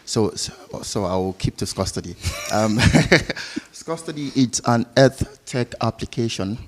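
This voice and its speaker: A man's voice: mid-range voice, expressive, a little slow. A man with a Nigerian accent answering interview questions as a guest at a live business event, jovial and chuckling as he talks. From Lagos, Nigeria.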